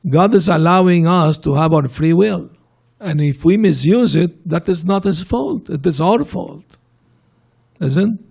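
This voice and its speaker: A man's voice: a little high, a little slow, creaky, a little mellow, breathy edge. A man leading a scripture class and patiently answering questions from the audience. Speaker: swami giving a class.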